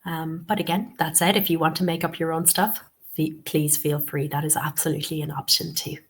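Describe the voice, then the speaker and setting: Woman tutor w/ a cold, kinda sniffly, lowish voice, patient webinar teacher saying okay and um a lot. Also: flat delivery, a little fast. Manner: patient, repeats key points, many "okay"s and "um"s. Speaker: TEFL course tutor. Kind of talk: webinar instruction.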